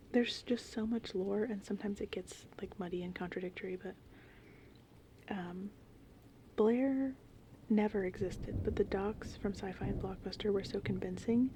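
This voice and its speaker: A woman in her thirties whispers softly and breathily in a casual, meandering ASMR ramble, chatting informally. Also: medium pitch, steady tone, measured pace, pauses often, a little hiss or hum. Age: 30s. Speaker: ASMR host, horror film fan. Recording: rain and thunderstorm ambience behind. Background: gentle rain and thunderstorms.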